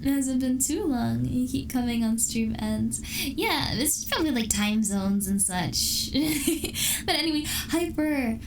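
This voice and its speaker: A woman's voice: high voice, lively intonation, a little slow. A young woman streamer chatting casually with her viewers, giggling often and restarting her sentences as she rambles. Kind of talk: casual chat with live chat. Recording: distant mic with clear background noise.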